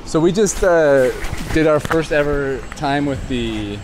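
Casual man vlogging over clear street noise. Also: fairly high pitch, unhurried. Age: 30s. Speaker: vlog host. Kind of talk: casual vlog chat. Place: in a moving car and outdoors in a parking lot. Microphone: small handheld mic. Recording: outdoor urban and car noise.

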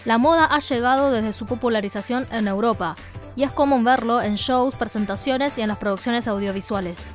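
A woman's voice: a little high, brisk, faint music behind. A young woman from China, hosting a casual explainer video with wry asides, on a lapel mic indoors. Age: young adult. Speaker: host. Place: indoor room.

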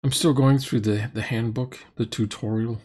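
A man's voice: medium pitch, fairly even intonation, moderate pace, mellow, husky. A middle-aged man giving blunt, sarcastic, exasperated commentary in reaction to a roleplaying game. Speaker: critic reacting to a tabletop roleplaying video.

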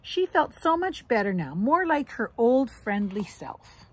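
A middle-aged woman reading a children's storybook aloud in an expressive, instructive way, as if to young grandchildren. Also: fairly high pitch, unhurried, husky, dry recording. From Canada. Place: outdoors on a wooden deck among trees.

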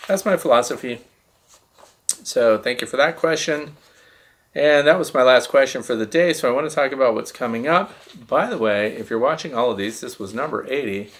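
An American man in his sixties with a gravelly voice, explaining things casually and emphatically as the host of a question-and-answer show. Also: medium pitch, fairly bright tone. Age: 60s. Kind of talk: casual explanatory talk to camera. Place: small indoor room, seated at a desk.

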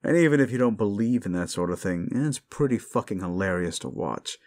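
A man's voice: lowish voice, expressive, brisk, darkish tone. A man voicing a cruel, condescending demon in an intimate audio roleplay, taunting the listener with drawling sarcasm. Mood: scornful amusement. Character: condescending male demon, gleefully cruel and mocking.

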